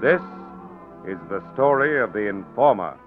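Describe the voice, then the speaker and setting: A man narrating a 1940s radio drama in a solemn, portentous manner, with deliberate phrasing. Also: on the low side, expressive. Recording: tinny 1940s radio recording, music behind.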